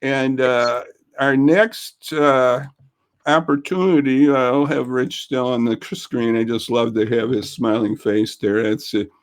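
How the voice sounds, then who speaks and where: A man's voice: mid-range voice, steady tone, slow pace, clean tone. A man hosting a casual local interview show over a video call, talking in a folksy, chatty way. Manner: folksy, chatty, warm thanks. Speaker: host.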